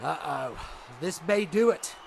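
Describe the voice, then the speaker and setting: A man with a stuffed-up nose doing rambling, excitable play-by-play for a video game wrestling show. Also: fairly high pitch, measured pace, vocal fry, a little hiss or hum. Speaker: commentator.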